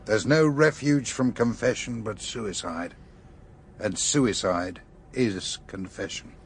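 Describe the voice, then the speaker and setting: A tired serious police chief, lowish voice, terse radio drama delivery, bit of background noise. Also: male voice, slow, creaky. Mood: sober, businesslike. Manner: terse, sober, authoritative.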